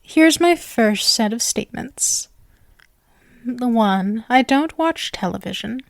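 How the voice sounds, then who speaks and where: A woman's voice: a little high, lively intonation, gravelly, dry recording, clean studio sound, exaggerated voice. A woman voicing a sweet, possessive yandere witch in an ASMR roleplay, coy and rambling, speaking intimately to the listener. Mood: playful. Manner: coy, halting, rambling, self-assured. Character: sweet, clingy, possessive yandere witch, playfully smug and nervous.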